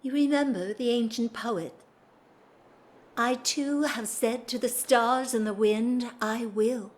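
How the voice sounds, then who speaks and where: A woman's voice: on the high side, takes it slowly, darkish tone. An actress playing a restrained, sorrowful upper-class married lady in a period audio drama, speaking formally and holding her feelings in. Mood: fearful, melancholy. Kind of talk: stage-style period radio drama acting.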